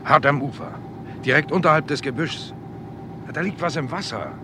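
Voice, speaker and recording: A man's voice: fairly high pitch, normal speed, natural voice. An actor playing an alert London police boatman in a 1960s German radio crime drama. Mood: alarmed. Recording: 1960s radio play, noisy engine background.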